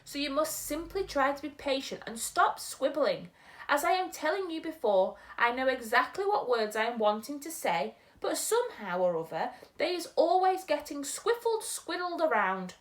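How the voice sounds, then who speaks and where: A woman reading aloud, voicing a huge kindly old male giant who mangles his grammar and booms his exclamations. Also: very animated, normal speed. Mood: delighted.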